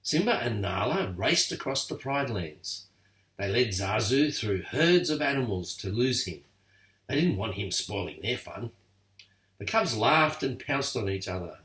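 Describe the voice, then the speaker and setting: An older man reading a bedtime story aloud to grandchildren, expressive and lively. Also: medium pitch. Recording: echoey room, far from mic.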